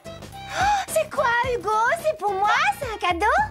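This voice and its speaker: Tiny greedy cartoon girl, really high squeaky voice, slow childish delivery, wheedling. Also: big pitch swings, loud music behind the voice, full character voice. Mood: gleeful, tempted. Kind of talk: animated children's cartoon acting.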